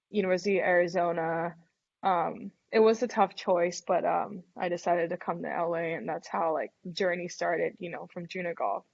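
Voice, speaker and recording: A woman's voice: lowish voice, highs cut off. A young woman from Thailand whose first language is Thai, chatting casually in a webinar interview and filling her speech with "like" and "um". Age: young adult. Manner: chatty, many fillers like "like" and "um". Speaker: college golfer guest.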